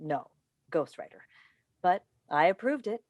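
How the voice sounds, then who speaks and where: A woman's voice: on the low side, animated, measured pace, no room sound. An actress playing an exasperated middle-aged talk show host and mother in a staged play reading over a video call. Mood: defiant.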